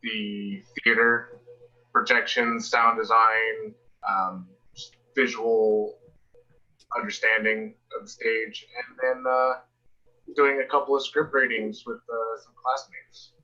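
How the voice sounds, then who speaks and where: A young man speaking casually and haltingly on a video call, with slightly dull audio, pausing between phrases. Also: on the low side, flat delivery, bright, forceful tone. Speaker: theater student. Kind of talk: casual chat.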